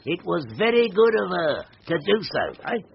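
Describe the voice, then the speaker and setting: A man playing a gentle, patient Victorian working-class husband, soothing and hesitant, in old-time radio drama style. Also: high-pitched, big pitch swings, moderate pace, creaky, heavily acted. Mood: coaxing. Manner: soothing, hesitant, mildly questioning. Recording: muffled, noisy old radio drama recording.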